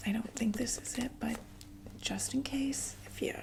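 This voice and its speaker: A woman playing a reassuring female doctor for monsters in a whispered ASMR roleplay, explaining gently and hesitantly in a breathy voice. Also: on the high side, moderate pace. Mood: explaining calmly.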